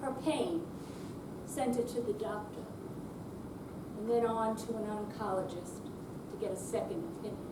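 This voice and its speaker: A woman performing a readers theater piece, delivering short narrative phrases in a measured way with pauses. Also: mid-range voice, steady tone. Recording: roomy hall, distant mic, background noise.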